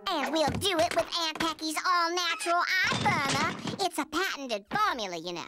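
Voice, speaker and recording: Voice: very high-pitched, lively intonation, normal speed. An actor voicing a brash, mischievous cartoon bird with a gushing, sing-song, bossy manner. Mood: gushing, coaxing. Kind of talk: animated cartoon voice acting. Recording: loud cartoon music behind the voice.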